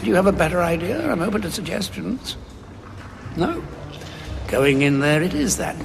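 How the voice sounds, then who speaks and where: Gravelly, war-weary Time Lord. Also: male voice, sits high, sing-song, exaggerated voice. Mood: urgent, wry. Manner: dramatic, deliberate, dryly sardonic. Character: war-weary male Time Lord, grim, guilt-ridden, sardonic. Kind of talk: audio drama acting. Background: background music.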